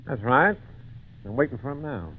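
An actor playing a cruel, short-tempered circus boss who sneers and threatens, in an old radio drama. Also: male voice, mid-range voice, expressive, normal speed, husky. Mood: gruff, dismissive. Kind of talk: 1940s radio acting. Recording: tinny old radio broadcast recording.

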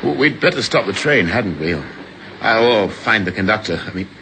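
An actor playing a flustered, stammering companion in an old radio drama. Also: male voice, lowish voice, animated, normal speed. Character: flustered male companion, chatty and nervous. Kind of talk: 1950s radio drama acting. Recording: faintly noisy old radio recording.

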